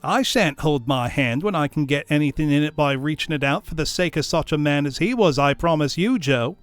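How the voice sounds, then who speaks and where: Man putting on a brazen, high-pitched charwoman. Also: expressive, a little fast, clean tone, no room sound, faint music behind, close to an everyday voice. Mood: brazen, coolly defiant. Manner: scornful, brash, emphatic. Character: brazen, gloating charwoman, coarse and defiant. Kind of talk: storybook character voice.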